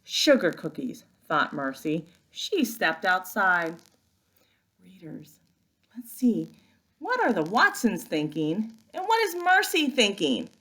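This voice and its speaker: A woman working as a first-grade teacher, giving an expressive, instructive read-aloud lesson for young children. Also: mid-range voice, takes it slowly.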